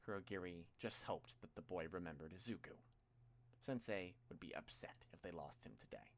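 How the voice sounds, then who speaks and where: A man's voice: low-pitched, moderate pace. A man reading fan fiction as an audiobook narrator, in a dramatic scripted style.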